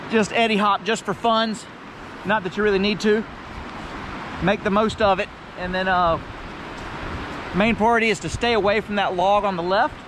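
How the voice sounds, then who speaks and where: High-pitched American man vlogging outdoors. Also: noisy background. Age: middle-aged. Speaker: kayaker and video host. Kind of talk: casual outdoor vlog chat. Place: outdoors on and beside a river.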